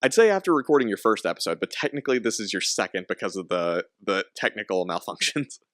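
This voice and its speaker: A man's voice: on the low side, lively intonation, fast, fairly bright tone, dry recording, clean studio sound. A young man hosting a casual podcast, rambling with frequent laughs and restarts. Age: young adult. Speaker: podcast host.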